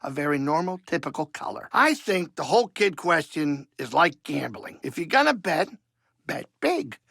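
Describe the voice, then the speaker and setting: An actor playing a wisecracking older alien pilot and cook in a video game, grumbling and blustery but warm-hearted. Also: male voice, fairly high pitch, big pitch swings, moderate pace, gravelly, husky, no echo, clean studio sound, full character voice. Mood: nervous bravado. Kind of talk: video game character acting.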